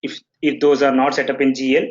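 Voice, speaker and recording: Brief: male trainer, mid pitch, talks through setup steps with lots of "okay"s, dull lo-fi audio. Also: level tone, quick pace, darkish tone. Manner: explanatory, full of "okay" checks and restarts. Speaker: software trainer. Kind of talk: live online training session.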